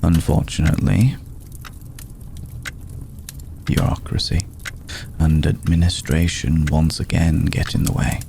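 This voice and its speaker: A man playing an ancient, aristocratic vampire in an ASMR roleplay, formal, hushed and gravelly, protective and vengeful, with deliberate pauses. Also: very deep voice, flat delivery, a little hiss or hum. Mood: cold, vengeful. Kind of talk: ASMR roleplay, slow intimate monologue.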